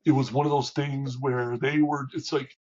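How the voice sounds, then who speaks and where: Husky man with a halting, candid voice. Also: level tone, darkish tone, dull audio. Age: middle-aged. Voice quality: big booming voice. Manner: halting, many restarts and fillers, candid. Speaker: interview guest. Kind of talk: reflective conversational interview.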